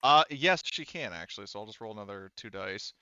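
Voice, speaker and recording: A man with a fairly low, clean voice runs a tabletop game as its game master. He talks casually and haltingly, working things out aloud. The sound is dry and a little dull. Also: expressive, sharp tone, clear, resonant tone, dry recording.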